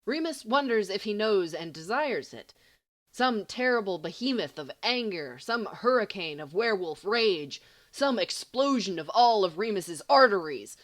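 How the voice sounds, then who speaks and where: A woman reading a story aloud as an amateur audiobook narrator, in an expressive, dramatic and wry manner. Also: mid-range voice, unhurried. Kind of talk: expressive scripted fiction narration.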